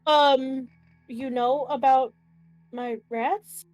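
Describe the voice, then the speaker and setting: A woman's voice: high-pitched, steady tone, extremely slow, muffled recording, soft background music. A woman chatting casually as a player in a tabletop roleplaying game.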